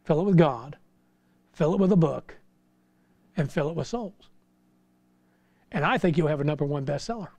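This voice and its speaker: A man's voice: on the high side, expressive, moderate pace, long pauses, dark tone, no echo. A middle-aged American man preaching an informal sermon in a folksy, exhortative way, full of rhetorical questions. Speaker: pastor preaching. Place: small church sanctuary, indoors.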